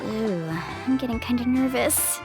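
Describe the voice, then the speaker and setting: A woman playing an upbeat, friendly young island guide in a video game, with a breezy, expressive delivery. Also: a little high, measured pace. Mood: earnest. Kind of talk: video game character acting. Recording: background music behind the voice.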